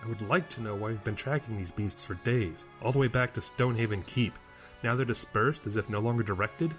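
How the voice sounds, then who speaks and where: A man's voice: lowish voice, fairly quick, fairly bright tone, faint music behind, natural voice. A man playing a guarded, curt wandering royal in a fantasy audio drama. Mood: guarded, brusque. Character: guarded, evasive male wandering royal, brusque leader.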